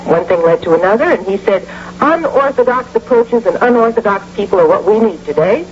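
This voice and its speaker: An actress playing a pragmatic, blunt wife and mother, speaking matter-of-factly and needling gently in a radio drama. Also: mid-range voice, animated, brisk, lightly acted. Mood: breezy, firm. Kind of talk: 1980 radio drama acting. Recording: muffled old radio broadcast, faint hum.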